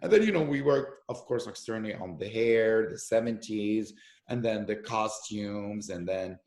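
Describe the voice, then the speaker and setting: A man with a gravelly, creaky voice chats through a loose, rambling interview. He stops and restarts often and piles on fillers as he tells his stories. The room around him is noticeably echoey. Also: fairly low pitch, moderate pace. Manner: animated storyteller with many fillers and restarts. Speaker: actor and podcast guest. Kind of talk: casual interview conversation.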